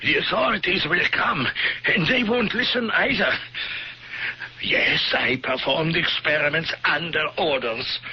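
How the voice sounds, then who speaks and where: An actor playing an agitated, hesitant former Nazi camp doctor in a radio drama. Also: male voice, fairly high pitch, a little slow, husky. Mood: defensive, agitated. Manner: hesitant, agitated, repeats words. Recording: muffled, faintly hissy old radio broadcast.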